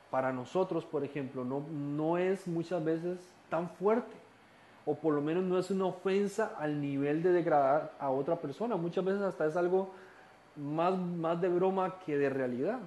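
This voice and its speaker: A man with a Costa Rican accent teaching a recorded Bible study lesson, explaining calmly and posing rhetorical questions. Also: a little high, moderate pace, lo-fi sound. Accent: Costa Rican Spanish. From Costa Rica. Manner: calm explaining, rhetorical questions, occasional restarts.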